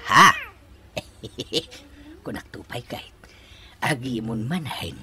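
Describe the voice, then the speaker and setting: A man's voice: mid-range voice, slow pace, background noise, close to an everyday voice. A man playing a giddy, boastful, lovestruck old father in a radio comedy drama, with exaggerated, giggly delivery. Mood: sheepish, placating.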